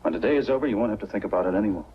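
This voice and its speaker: An actor playing a calculating, persuasive accomplice in a 1940s film noir style. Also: male voice, lowish voice, animated, rapid-fire, a little hiss or hum, natural voice. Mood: urgent persuading. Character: calculating male accomplice, persuasive and self-protective. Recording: muffled 1940s film soundtrack.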